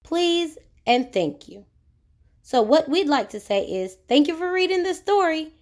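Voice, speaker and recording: A woman's voice: high voice, sing-song, unhurried, a little mellow. A woman narrating a children's storybook expressively, putting questions to young listeners. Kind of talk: animated read-aloud narration of a children's storybook.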